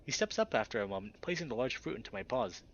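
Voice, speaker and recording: Guy reading a visual novel out loud w casual commentary, really animated, bit muffled. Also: medium pitch, fast talker. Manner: expressive reading, breaks off for casual reactions. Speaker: gaming channel host.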